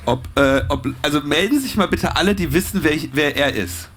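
A man who is a guest at a live podcast recording, chatting in a relaxed, teasing way with lots of fillers. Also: fairly high pitch, brisk, slight background noise. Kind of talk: casual chat on stage before a live audience.

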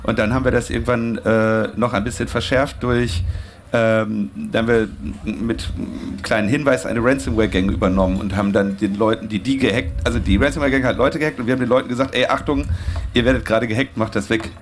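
A man who is a guest at a live podcast recording, chatting in a relaxed, teasing way with lots of fillers. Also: medium pitch, quick pace, a little hiss or hum. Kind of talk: casual chat on stage before a live audience.

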